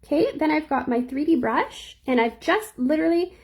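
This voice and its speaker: A young woman with a slightly squeaky voice, chatting casually through a live nail art tutorial and answering viewers as she works. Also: expressive, normal speed, a little mellow. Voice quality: a little squeaky.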